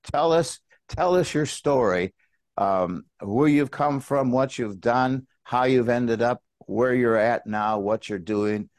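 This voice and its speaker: A man's voice: mid-range voice, slow, no echo. A man hosting an interview podcast in a casual, chatty way, speaking directly to listeners. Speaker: podcast host and business coach.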